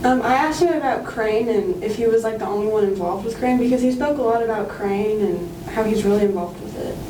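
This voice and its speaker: A woman's voice: medium pitch, a little fast, dark tone. A teenage girl with a mid-range voice answers casually, using fillers. She is recorded from a distance in an echoey room with noise. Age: teens. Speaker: student interviewee.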